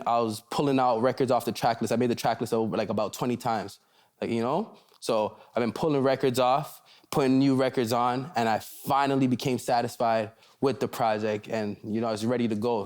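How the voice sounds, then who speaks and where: A man's voice: medium pitch, steady tone, brisk, a little mellow. A young man from Canada, a rapper, chatting loosely in an interview and often checking in with "you know". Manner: laid-back, loose, repeats "you see what I'm saying". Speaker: rapper guest. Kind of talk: casual interview chat.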